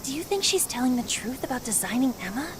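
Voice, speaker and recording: A woman's voice: high voice, very animated, fast, noisy background. A woman playing a candid, uneasy teenage girl in an English game dub. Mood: uneasy, doubtful. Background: music.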